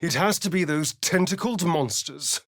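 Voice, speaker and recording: An actor playing a sardonic, vain vampire spawn rogue in a video game, with a theatrical, drawling British delivery. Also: male voice, a little high, moderate pace, a bit bright, no echo, clean studio sound. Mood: exasperated, alarmed. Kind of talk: video game character voice lines.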